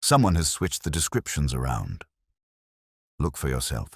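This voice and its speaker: A man's voice: rumbling low, huge pitch swings, moderate pace, breathy edge, dry recording, studio quality, heavily acted. A man voicing an agitated village committee woman in a storybook mystery, prim, indignant and emphatic. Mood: indignant, flustered. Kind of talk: storybook character dialogue.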